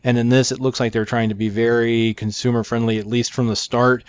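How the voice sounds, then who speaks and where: A man's voice: mid-range voice, little pitch movement, brisk, clean tone, no echo. Dry-sounding man doing casual game commentary. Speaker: gaming video host. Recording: dry, slightly dull microphone.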